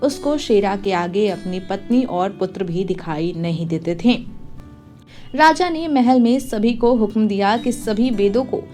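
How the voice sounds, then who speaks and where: A woman's voice: mid-range voice, animated, measured pace, a little mellow, faint music behind. A woman storyteller reading a scripted moral tale as narration.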